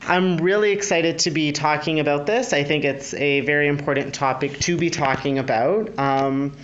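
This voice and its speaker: A man's voice: a little high, normal speed, fairly bright tone, highs cut off. A man hosting a podcast, introducing his guest in a friendly, eager way. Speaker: podcast host. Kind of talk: casual interview hosting.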